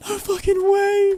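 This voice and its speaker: A man doing excited live game commentary as a streamer, exclamatory, repeating himself and swearing casually. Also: very high voice. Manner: exclamatory, repeats words and phrases.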